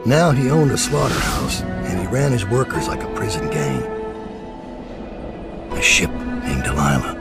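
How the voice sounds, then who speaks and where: Tired old assassin, super deep gravelly voice, slow, loud music over it. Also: male voice, very animated. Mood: brooding. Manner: grim, slow, brooding narration. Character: weary aging male assassin, grim and regretful. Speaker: narrator. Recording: game cutscene under loud music.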